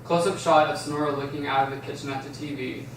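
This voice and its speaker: A man's voice: a little high, steady tone, quick pace. A man narrating stage directions at a script table read, recorded in an echoey room from a distance. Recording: echoey room, distant mic, faint noise.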